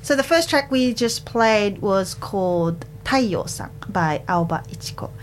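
Chatty woman radio host, rumbly background noise. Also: animated, normal speed. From Japan, childhood partly in England. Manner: chatty, laughs often. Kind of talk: community radio presenting and friendly interview chat. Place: radio studio. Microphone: studio microphone.

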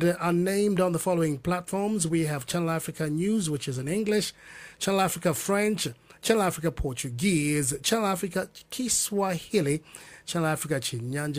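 A man hosting a radio talk show, upbeat, with some fillers and restarts. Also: on the high side. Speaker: radio host.

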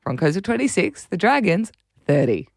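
A woman with a raspy voice and an Australian accent, co-hosting breakfast radio with casual, animated chat about sport. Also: on the low side, normal speed, no room sound, studio quality.

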